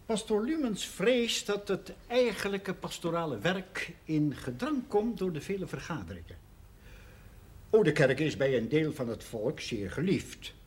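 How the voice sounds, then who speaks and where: A male village schoolmaster in a period television drama. His voice sits somewhat high for a man. He chooses his words with tact and speaks earnestly, diplomatically and with some hesitation. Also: sing-song, unhurried. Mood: diplomatic, explaining. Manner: careful, diplomatic, hesitant in criticism.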